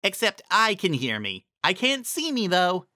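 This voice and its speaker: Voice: medium pitch, huge pitch swings, unhurried, pushed and bright, dry recording, studio quality, pitched above the performer's own voice, exaggerated voice. A performer voicing a wry, exasperated young female unicorn magician in a storybook fantasy reading. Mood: wry, bewildered.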